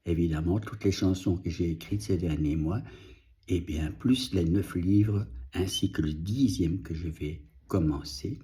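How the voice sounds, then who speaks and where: A middle-aged man whose first language is Dutch, speaking French slowly and reflectively in a personal video update, pausing and restarting, audibly moved. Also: low-pitched, mellow. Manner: slow, reflective, halting with restarts. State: moved, emotional. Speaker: vlogger and author.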